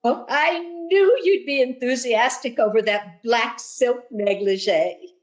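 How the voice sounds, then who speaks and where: Woman as scatterbrained, high-voiced landlady. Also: slow. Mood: gushing. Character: fussy, well-meaning, scatterbrained female landlady. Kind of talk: vintage radio sitcom acting.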